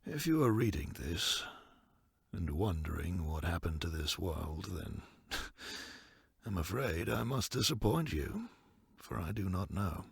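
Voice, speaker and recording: Man playing a slow, gravelly, weary old man. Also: low voice, big pitch swings, no echo, heavily acted. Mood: weary, reflective. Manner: halting, wistful, resigned. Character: very old, lonely, weary man resigned to death. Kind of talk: audio drama character acting.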